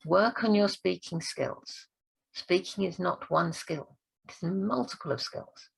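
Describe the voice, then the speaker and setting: A woman speaking coach giving an encouraging training talk, her voice croaky and hoarse this week. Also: fairly low pitch, lively intonation, measured pace, rough recording. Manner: encouraging, earnest. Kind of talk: direct-to-camera training talk.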